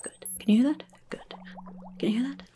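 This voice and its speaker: A woman's voice: high voice, fairly quick, dark tone, slight background noise, close to an everyday voice. A young woman playing a rude, chaotic goblin nurse in a whispered ASMR roleplay, fussy and blunt, repeating words close to the microphone. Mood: brisk, distracted. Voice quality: breathy whisper.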